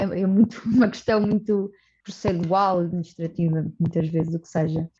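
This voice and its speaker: Portuguese lady explaining her project, mellow voice, lots of restarts, kinda muffled. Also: mid-range voice, breathy edge. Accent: European Portuguese. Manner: earnest, explanatory, with frequent restarts and "portanto". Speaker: social coordinator of a community project, guest. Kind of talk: conversational podcast interview. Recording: dull, muffled audio.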